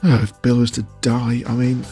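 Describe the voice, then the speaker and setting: A man's voice: mid-range voice, flat delivery, moderate pace, mellow, soft background music. A man giving casual interview answers as a voice actor, choosing his words with hesitation and often chuckling.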